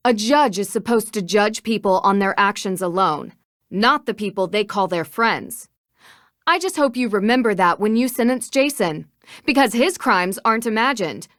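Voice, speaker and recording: A woman's voice: mid-range voice, lively intonation, moderate pace, a bit bright, no room sound, studio quality, natural voice. An actress playing a determined young female detective in a video game, speaking in an earnest, assertive, articulate way. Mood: earnest, indignant. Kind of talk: scripted video game voice acting.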